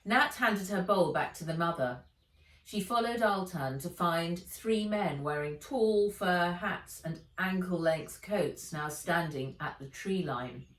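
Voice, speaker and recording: A woman narrates a children's adventure book with measured expression. Her voice is fairly low and very unhurried. It sounds echoey, a little away from the microphone. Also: a bit bright. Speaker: author reading own book aloud. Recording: echoey room, distant from mic.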